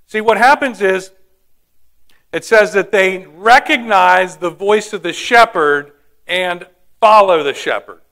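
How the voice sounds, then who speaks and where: Man, pastor, sermon, high voice, bright tone, asks questions. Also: takes it slowly. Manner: storytelling, asks the congregation questions.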